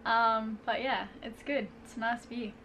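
Bright, even-toned young woman. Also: on the high side, unhurried, low-quality recording. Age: 20s. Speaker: sailing vlog host. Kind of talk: casual talk to camera. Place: boat cabin at a marina. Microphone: camera at arm's length. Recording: faint background noise.

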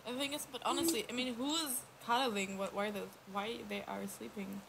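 A woman's voice: fairly high pitch, fairly even intonation, a little fast, gravelly, noisy background. A young woman, a native German speaker, chatting casually on a livestream in a laid-back way with short replies. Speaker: livestream co-host.

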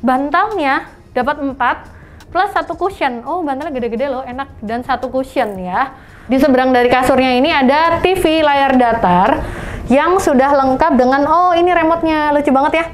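A woman with an Indonesian accent walks viewers through a hotel room, talking to them directly. Her voice sits high and she stays chatty and enthusiastic. Faint room noise hums behind her, picked up by an on-camera mic. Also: moderate pace. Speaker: travel vlogger.

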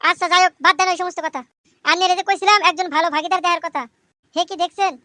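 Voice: very high voice, steady tone, racing, no room sound. A performer voicing a blunt, principled cartoon man who argues against bribery, with a Bangladeshi regional accent. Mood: suspicious. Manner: blunt, argumentative. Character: plain-spoken, principled man refusing to pay bribes. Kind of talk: comic cartoon voice acting. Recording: loud music behind the voice. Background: loud music.

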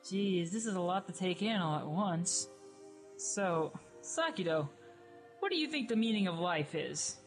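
A woman's voice: fairly low pitch, animated, a little slow, faint music behind. A woman voicing a sarcastic, brash young dead woman in a fan radio play, snappy and exclaiming. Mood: snarky.

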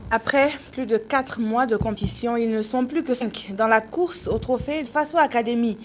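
A middle-aged woman reading the news as studio anchor, in a steady, measured way. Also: fairly high pitch, slight background noise. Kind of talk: read news presentation.

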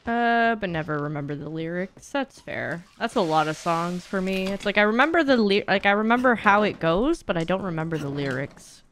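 Casual female streamer, young, very expressive intonation, playful chatter, slight noise behind. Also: medium pitch, normal speed, few pauses. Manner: playful, chatty, breaks into singing and silly sounds. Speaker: streamer playing a horror game. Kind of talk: casual gaming livestream chat. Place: indoor streaming room at home.

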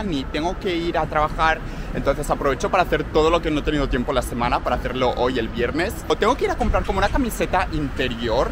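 Young man, casual vlog chat, upbeat, walking outdoors, street noise behind. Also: a little high. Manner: chatty, upbeat, talks straight to viewers. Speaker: vlogger. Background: street and traffic noise.

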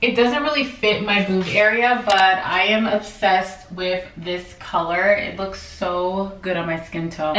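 A young woman talks casually to her viewers, filling her sentences with "like" as she goes. Her voice sits at an ordinary pitch. It bounces off the hard walls of a small bathroom, and the camera mic is set back from her. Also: a bit bright, roomy sound, far from the mic, a little hiss or hum, poor audio. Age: young adult. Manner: chatty, frequent "like" fillers, candid. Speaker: vlogger. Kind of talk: casual vlog chat and clothing haul. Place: home bathroom with tiled shower. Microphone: camera on a tripod a few feet away.

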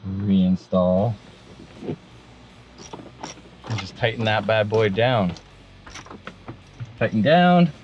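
A man's voice: on the low side, extremely slow, pauses often, darkish tone, dull audio. A man, giving a laid-back, informal hands-on tutorial as a vlogger. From Canada. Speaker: vlogger presenting a vehicle install. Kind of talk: casual hands-on tutorial talk to camera. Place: in a vehicle and outdoors under an overpass.

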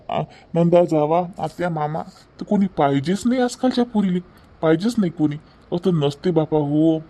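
A man's voice: fairly high pitch, dark tone. A man voicing an older family patriarch in a cartoon drama, fond and folksy, preaching with rhetorical questions in a Varhadi Marathi accent. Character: older patriarch father-in-law, fond, gruffly moralising.

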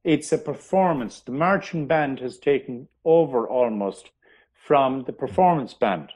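A man, a historian, telling history in an interview, pausing often with ums and restarting his sentences. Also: mid-range voice, expressive, a little mellow, slightly rough. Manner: hesitant, many ums and restarts. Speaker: historian guest.

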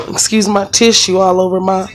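Raspy sick woman vlogging at home. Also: medium pitch, a bit bright, a little hiss or hum. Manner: chatty, lots of ums. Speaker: vlogger, stay-at-home mom. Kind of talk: casual chat to camera. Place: home bedroom, indoors. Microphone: phone camera held close.

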